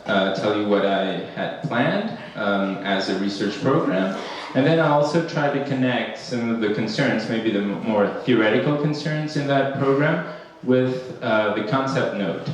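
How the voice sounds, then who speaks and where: Slow, hesitant man in echoey hall. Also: fairly low pitch. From Ecuador. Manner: hesitant, many fillers and restarts. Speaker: academic panel speaker. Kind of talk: conference talk. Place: conference room. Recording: echoey hall, speaker far from mic.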